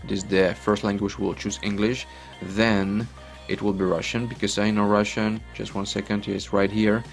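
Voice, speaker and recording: A young man in his twenties with a Ukrainian accent talks in non-native English with a lowish voice. He is chatty and repetitive as he walks viewers through a screen recording. Faint music plays under him. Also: flat delivery, moderate pace, vocal fry. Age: 20s. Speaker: online earning vlogger. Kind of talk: casual screen-recorded walkthrough.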